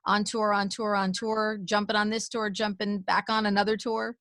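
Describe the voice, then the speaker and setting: A woman's voice: medium pitch, flat delivery, moderate pace, a bit bright, no echo, studio quality. A woman radio host, enthusiastically asking effusive interview questions.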